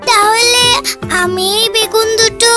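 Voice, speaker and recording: A woman's voice: very high-pitched, steady tone, a little slow, loud music behind the voice. A woman voicing a persistent, wheedling little animal girl in a children's cartoon. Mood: sulky pleading.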